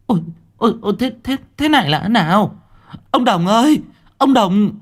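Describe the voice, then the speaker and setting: A man voicing an older village wife who scolds her husband in a shrill, exasperated way. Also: animated, normal speed, natural voice. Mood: alarmed scolding.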